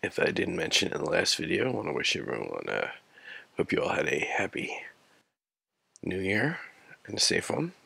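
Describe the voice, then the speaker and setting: Sick man with a deep gravelly voice. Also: huge pitch swings, sharp tone, dry recording. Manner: slow, low-key and apologetic. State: sick, scratchy and rough-voiced. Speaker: gameplay commentator. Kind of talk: casual gameplay commentary.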